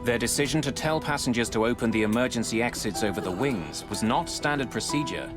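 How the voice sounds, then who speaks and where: A man giving scripted, dramatic documentary narration in a measured, grave way, close on a studio mic. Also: mid-range voice, animated. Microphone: close studio voice-over mic. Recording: music bed under narration.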